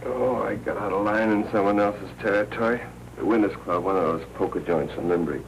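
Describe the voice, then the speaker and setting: A man's voice: fairly low pitch, brisk, lightly acted. An American actor playing a tough, curt newspaperman in an old film noir crime drama. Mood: curt, impatient. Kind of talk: 1940s film noir acting. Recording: noisy old 1940s film soundtrack.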